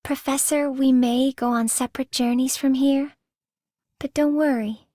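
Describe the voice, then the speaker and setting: A woman voicing a gentle, soft-spoken young woman in a video game. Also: sits high, steady tone, a little slow, no echo, studio quality. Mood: gentle, calm. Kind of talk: video game character voice lines.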